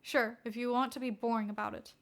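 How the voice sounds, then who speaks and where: A woman voicing a deadpan teenage boy who spouts theories matter-of-factly in a story reading. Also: fairly high pitch, brisk, creaky.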